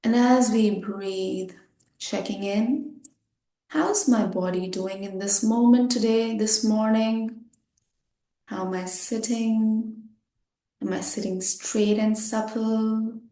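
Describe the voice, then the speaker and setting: A woman guiding a meditation, giving gentle instructions in short, unhurried phrases with pauses. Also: a little high, reverberant. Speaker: meditation guide.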